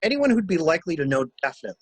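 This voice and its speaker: A man reading the part of a cool, scheming traveler in a murder mystery drama, brisk and instructing with a wry, playful streak. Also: a little high, sing-song, no echo. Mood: brisk, calculating. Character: cool, scheming male traveler taking charge of a crime cover-up.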